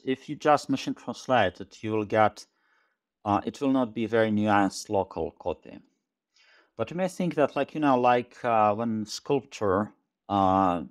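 A man in his forties, a tech company chief, talking over a video call in a thoughtful, halting way, often repeating words as he searches for them. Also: on the low side. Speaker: tech company CEO guest. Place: small home room indoors. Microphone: headset over video call.

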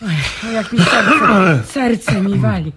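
A woman's voice: medium pitch, expressive, takes it slowly, noisy background. Fretful doting old mother. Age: older. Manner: fussy, worried, repeats words. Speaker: mother of a young businessman. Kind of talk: comic radio play acting.

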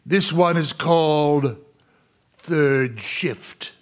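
A man's voice: on the high side, drawn-out words. A man hosting a live horror radio show in a florid, hammy, macabre manner. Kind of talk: theatrical horror-host introduction for live old-time radio.

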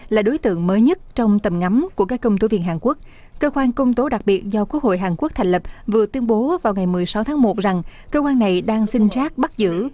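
A female news narrator with a warm, dark tone reads her script steadily. Her delivery stays measured and even. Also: fairly high pitch. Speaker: news reporter.

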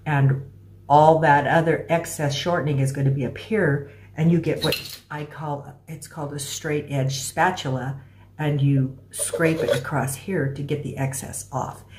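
A middle-aged American woman giving a casual, step-by-step cooking demonstration in a halting, explanatory way. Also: low voice, steady tone. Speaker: home cooking instructor. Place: small room at home, indoors. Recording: clear background noise with clicks.

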